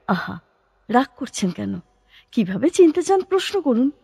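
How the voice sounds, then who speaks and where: A woman acting a poetic, playful female stage performer who gently challenges, in lyrical, expressive audio-drama dialogue. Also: fairly high pitch, normal speed, creaky, husky, no room sound, studio quality, heavily acted. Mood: teasing. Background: instrumental background music.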